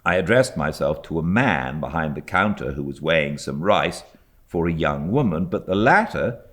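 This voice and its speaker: A British man reading a classic novel as an audiobook narrator, in a measured, expressive storytelling manner. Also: extremely low pitch. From Britain. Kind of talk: scripted literary narration.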